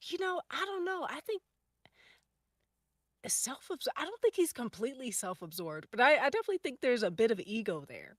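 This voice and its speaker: A woman's voice: a little high, sing-song, brisk, dry recording, studio quality. A woman on a podcast panel chatting informally, shifting between tentative phrasing and emphatic points. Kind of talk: casual chat.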